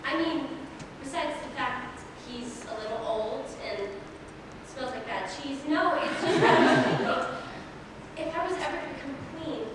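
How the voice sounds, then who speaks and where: High-voiced earnest young princess played by a woman. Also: animated. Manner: halting, earnest. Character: earnest, hesitant young princess, peacemaking daughter. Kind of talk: staged play reading. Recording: echoey hall, distant mic, background noise.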